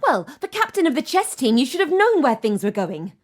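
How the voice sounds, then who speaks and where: A woman's voice: sits high, sing-song, brisk. A woman acting in an audio play as a headstrong, defiant teenage daughter, arguing emphatically. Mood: accusing. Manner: argumentative, emphatic.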